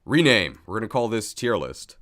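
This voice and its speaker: Casual male streamer with lively, swinging pitch. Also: measured pace. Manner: self-deprecating, thinking aloud, jokey. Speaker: streamer, video host. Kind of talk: casual livestream chat while setting up.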